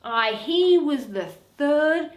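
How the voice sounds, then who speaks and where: A woman playing a shrewd, aggrieved male moneylender in a Shakespeare reading, with emphatic, theatrical, deliberate delivery. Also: high-pitched, expressive, roomy sound, pitched above the performer's own voice. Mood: sly, storytelling.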